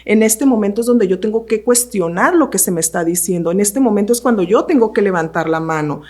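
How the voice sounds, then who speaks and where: A woman's voice: mid-range voice, lively intonation, a little mellow. Woman explaining steadily. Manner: measured, explanatory, repeats phrases for emphasis. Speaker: podcast co-host, university professor. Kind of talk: panel discussion on a podcast.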